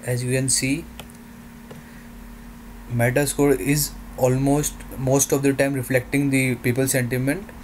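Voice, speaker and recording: A man narrating a software demo in halting, non-native English phrasing. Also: medium pitch, little pitch movement, a little hiss or hum.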